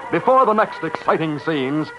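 A man's voice: on the high side, animated, normal speed, over music, rough recording. A man narrating an old radio drama in a formal, theatrical style.

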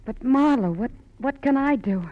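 A woman's voice: high-pitched, lively intonation, normal speed. An actress playing a grieving, wary young woman in a 1940s radio mystery, hesitant and fragile. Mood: anxious, hesitant. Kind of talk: 1940s radio drama acting. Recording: muffled old radio recording, faint noise.

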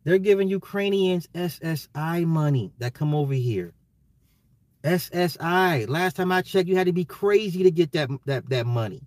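Man, American accent, somewhat high pitch, dry recording, opinionated livestreamer, repetitive emphatic delivery, slight hoarseness. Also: moderate pace, darkish tone, no room sound. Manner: emphatic, repeats phrases, slangy, swears, chuckles. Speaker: livestream host. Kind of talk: casual opinionated livestream rant.

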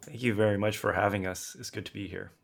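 A man from Southern California, a guest academic giving thoughtful, steady interview answers with frequent fillers. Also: fairly low pitch, quick pace, vocal fry.

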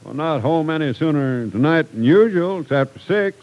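A man's voice: on the high side, animated, normal speed, a little mellow. An actor playing a middle-aged businessman and husband, genial, easygoing and dryly teasing, in an old radio drama. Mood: cheerful. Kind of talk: 1940s radio drama acting. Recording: noisy old radio recording.

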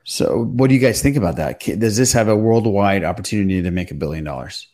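Low-voiced man hosting casual chat. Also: quick pace, darkish tone. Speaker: podcast host.

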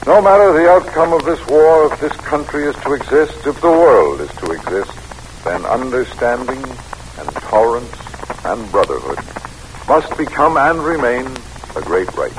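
An actor playing a weary, kindly middle-aged American president in measured, solemn, folksy style in an old-time radio drama. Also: male voice, mid-range voice, very animated, natural voice. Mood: resolute, solemn. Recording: noisy old radio recording.

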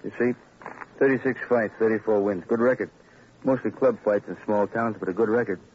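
A dull old radio recording with faint noise carries a low-pitched man, an actor as a plain-spoken city policeman. He talks in clipped, informal phrases, in the style of vintage crime drama. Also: fairly even intonation, fairly quick, close to an everyday voice. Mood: matter-of-fact, musing.

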